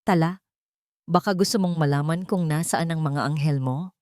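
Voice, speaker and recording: Villainess for audio drama, played by a woman narrator: mocking, quietly threatening, lowish register, dry sound. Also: moderate pace, no room sound, natural voice. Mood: taunting, menacing. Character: menacing, taunting woman accomplice making threats by phone. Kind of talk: acted dialogue in a narrated drama.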